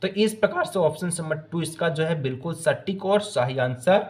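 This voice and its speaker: A man's voice: on the high side, expressive, normal speed, darkish tone. A man teaching exam questions, working through the answer options aloud and mixing in English phrases. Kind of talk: explaining multiple-choice answers.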